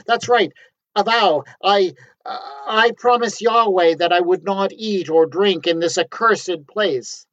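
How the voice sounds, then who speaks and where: A man voicing a wild-eyed young male prophet, fervent and shrill, who stammers when cornered. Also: a bit bright, dry recording. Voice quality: shrill, screaming. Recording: dry, dull lo-fi recording.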